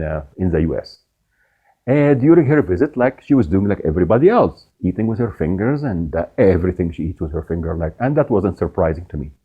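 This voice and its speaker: A middle-aged man from Egypt hosting a show, telling a personal story in an animated way with laughs along the way. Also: on the low side, husky, phone-quality sound. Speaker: podcast host. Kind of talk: storytelling monologue.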